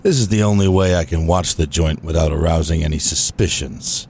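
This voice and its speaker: Man playing very deep-voiced old crook. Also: a little fast, a little mellow, a little hiss or hum. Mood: shifty. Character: shady old crook father, pleading and shifty. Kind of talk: comedic noir radio play.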